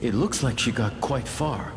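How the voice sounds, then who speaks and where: A man's voice: medium pitch, unhurried, gravelly, in a large hall, noisy background. An actor voicing a dry, pragmatic male companion in a fantasy video game. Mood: dry, musing.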